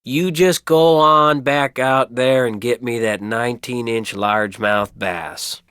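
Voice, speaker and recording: A clear, smooth male voice at an average pitch as a folksy small-town tradesman in a game. He is easygoing and chatty, a keen fisherman. The sound is dry and clean. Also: fairly even intonation, takes it slowly, fairly bright tone, no echo. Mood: amused, correcting. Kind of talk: video game character acting.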